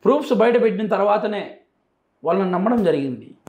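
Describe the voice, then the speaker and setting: A man's voice: sits high, expressive, fairly quick, narrow, tinny audio. A man with a Rayalaseema Telugu accent answering interview questions emphatically, repeating phrases to drive points home. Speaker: interview guest. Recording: echoey, roomy sound.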